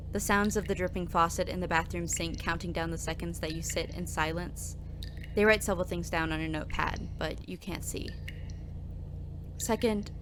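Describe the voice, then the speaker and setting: A woman's voice: lowish voice, noisy background, natural voice. A woman performing scripted audio drama narration as a nervous, overworked new coffee shop worker. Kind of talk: scripted second-person narration.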